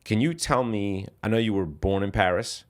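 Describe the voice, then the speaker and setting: Deep-voiced man hosting a podcast. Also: normal speed. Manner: attentive, encouraging, frequent affirmations. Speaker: podcast host. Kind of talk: interview conversation.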